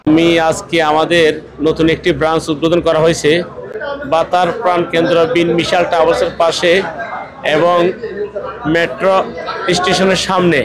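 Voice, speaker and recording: A man's voice: fairly high pitch, level tone, normal speed, long pauses, low-quality recording. A man with a Bangladeshi accent, a restaurant owner, giving unscripted interview remarks. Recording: clear crowd chatter behind.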